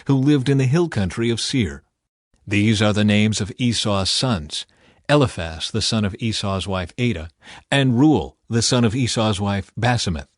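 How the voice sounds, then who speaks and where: A man narrating scripture as an audiobook reader, in a measured, solemn way. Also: lowish voice, no echo, studio quality.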